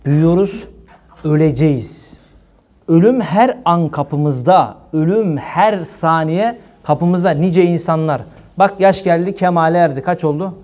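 A man gives an informal religious sermon in a colloquial, animated way, asking rhetorical questions. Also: sits high. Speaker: preacher. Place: small room indoors.

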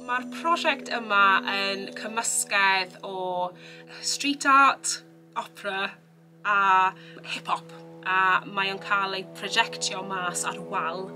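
A woman's voice: medium pitch, fairly even intonation, takes it slowly, bright, forceful tone, faint music behind. A young woman with a Welsh accent, a composer talking about her work in a studio, chatty, with many filler sounds. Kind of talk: interview. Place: recording studio.

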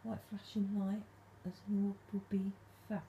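A woman vlogging at night from her dark bedroom, speaking softly and calling out to spirits, her voice a little stuffed up from hay fever. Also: medium pitch, monotone, normal speed, a little hiss or hum. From England. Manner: hushed, soft-spoken, calling out to spirits. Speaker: paranormal vlogger. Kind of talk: quiet late-night ghost hunting vlog.

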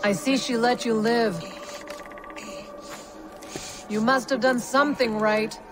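A woman's voice: on the high side, steady tone, normal speed, over music, close to an everyday voice. A woman voicing an imperious, scheming frost giantess in a fantasy video game, with dry disdain. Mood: mocking.

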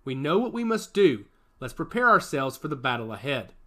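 A man's voice: mid-range voice, sing-song, fairly quick, sharp tone. A man voicing a commanding female cyborg fighter. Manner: authoritative.

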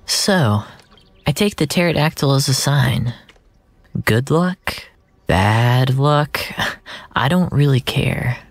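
A man narrating first-person fiction in a deliberate, brooding, dramatic way, drawing out his phrases. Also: mid-range voice, expressive, vocal fry, dry recording. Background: music.

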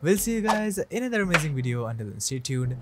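A man narrating a scripted software tutorial in an upbeat YouTuber manner. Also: on the high side, very animated, fast talker. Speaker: YouTube tech reviewer. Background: music behind the voice.

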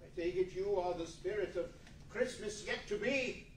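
Echoey distant room, man acting high-voiced old miser, frightened and remorseful, swooping pleas, radio play. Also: a little hiss or hum, natural voice. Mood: fearful. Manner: anxious, halting, pleading. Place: large meeting hall before an audience.

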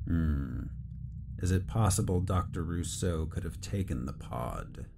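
A curt, cold bureaucrat. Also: male voice, extremely low pitch, lively intonation, a little slow, creaky, heavy background noise, heavily acted. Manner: curt, clipped questioning. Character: cold male bureaucrat interrogator. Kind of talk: audio drama acting.

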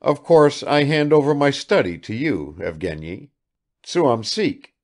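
A man's voice: medium pitch, lively intonation, unhurried, voice pushed up. A man reading aloud and voicing an eager, garrulous, good-natured old country father who chatters too much.

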